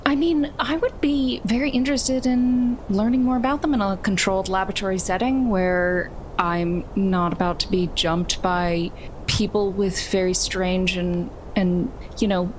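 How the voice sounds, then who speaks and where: A woman's voice: fairly even intonation, moderate pace, darkish tone, low-quality recording. A woman chatting casually as a player in a tabletop game, wordy and wry. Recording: noticeable low ambient rumble.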